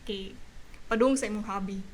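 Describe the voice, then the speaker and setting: A woman with a Filipino accent casually explaining astrology in a halting, giggly, code-switching way. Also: mid-range voice, a little hiss or hum. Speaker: astrology reader guest.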